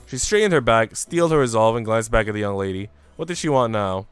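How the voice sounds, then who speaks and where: A man's voice: on the low side, lively intonation, quick pace, smooth, no echo, faint music behind. A man streaming a visual novel, switching between casual, slangy chat and reading the story aloud. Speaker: game streamer.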